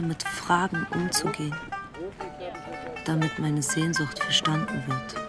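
A man playing an earnest, searching character in a stylised radio play monologue, speaking in deliberate statements over music. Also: fairly high pitch. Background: clear music.

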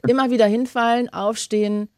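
Woman radio host, quick chatty delivery, dry close studio sound. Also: fairly high pitch, steady tone, clear, resonant tone, dry recording. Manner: chatty, warm-hearted advice, fast talking. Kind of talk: casual radio phone-in chat.

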